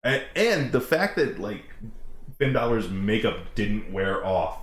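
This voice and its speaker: A man with a loud voice hosting a casual reaction chat, rambling excitedly and often restarting his sentences. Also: on the low side, slow. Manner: excited, rambling, self-interrupting. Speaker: show host. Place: outdoors in the shade. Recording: roomy with faint noise.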